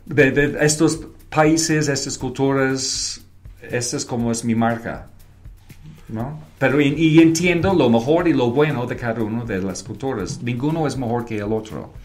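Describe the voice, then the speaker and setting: A man's voice: medium pitch, normal speed, faint music behind. British-accented man, non-native Spanish, reflective guest, mid-range voice. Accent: British English. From Wales, Britain. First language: English. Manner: non-native Spanish, short declarative sentences, slips into English words. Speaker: guest, advertising creative and professor. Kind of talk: reflective interview conversation.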